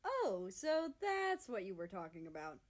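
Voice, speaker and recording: A woman's voice: mid-range voice, sing-song, measured pace. A woman voicing a cute, giggly, whiny young man in love in a read-aloud story. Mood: giggly, bashful.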